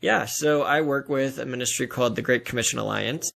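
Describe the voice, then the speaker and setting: American guy, gravelly fry in his voice, chatty author just telling little stories in an interview. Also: mid-range voice, a little fast, a bit bright. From Denver area, Colorado, US. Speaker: interview guest, fiction author. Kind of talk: casual interview chat.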